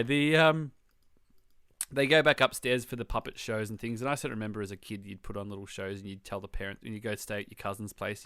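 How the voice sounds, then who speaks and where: A man's voice: lowish voice, clear, resonant tone, no echo, clean studio sound. A man co-hosting a casual podcast, joking and talking in loose restarts. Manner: relaxed, joking, trailing restarts. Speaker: podcast co-host. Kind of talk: casual chat.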